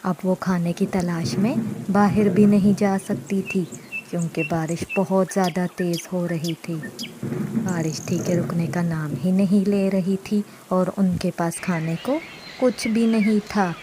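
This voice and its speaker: A woman's voice: fairly low pitch, fairly even intonation, measured pace, background noise. A woman narrating a scripted moral story for children as a storyteller.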